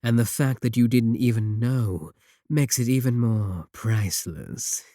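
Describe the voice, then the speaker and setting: A man playing a sly, sadistic warlock in a roleplay audio drama, smug and possessive, with taunting, deliberate phrasing. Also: mid-range voice, no echo, studio quality, lightly acted. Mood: mocking, menacing. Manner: taunting, drawn-out, deliberate phrasing with dark chuckles. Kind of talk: scripted roleplay audio drama, spoken to the listener.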